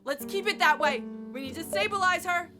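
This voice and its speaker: A woman's voice: very high voice, brisk, music in the background. A woman playing an urgent, commanding hospital doctor in an audio drama, frantic to save a patient.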